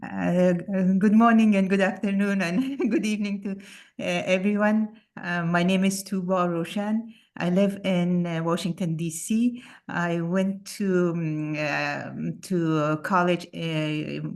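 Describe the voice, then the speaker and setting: An older woman from Afghanistan, who works as an architect, introduces herself in an online meeting. She is modest and halting, and she uses many fillers. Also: lowish voice, fairly even intonation, darkish tone. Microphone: video call.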